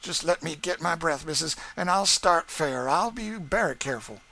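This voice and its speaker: A man's voice: a little high, expressive, moderate pace, creaky, pushed and bright, pitched above the performer's own voice, heavily acted. A man voicing a sly, cheerful young stable hand in an audiobook, with a broad rural dialect and comic exclamations. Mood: sly, exclaiming. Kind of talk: audiobook character voice.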